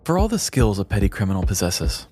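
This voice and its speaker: A man's voice: on the low side, sing-song, quick pace, darkish tone, husky, soft background music. A man co-hosting a comedy podcast, moving between casual banter and scripted humorous storytelling.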